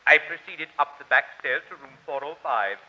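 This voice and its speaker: A man's voice: a little high, lively intonation, moderate pace, dull audio. A man playing a small, fussy, pompous would-be crime-fighter with florid formal diction in an old-time radio drama. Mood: self-satisfied, precise. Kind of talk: 1940s radio acting.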